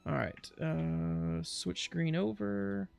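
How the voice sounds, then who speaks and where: A man's voice: on the high side, steady tone, very slow, vocal fry, smooth, no room sound, faint music behind. A man hosting a reaction livestream with casual, jokey, rambling commentary that often interrupts itself. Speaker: livestream reaction show host.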